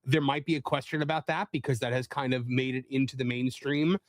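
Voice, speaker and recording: Halting man on a panel, dry close sound. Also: medium pitch, steady tone, dry recording. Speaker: panelist and entertainment reporter. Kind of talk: casual panel discussion.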